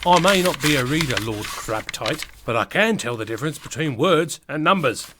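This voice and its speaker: Comic radio-play sidekick: man as chummy, sly British lowborn fellow, very animated, slightly high register. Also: normal speed, noisy background, close to an everyday voice. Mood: sly teasing. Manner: chummy, colloquial, cheeky. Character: cheeky lowly male castle guest, chummy and sly. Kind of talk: comedy audio drama acting.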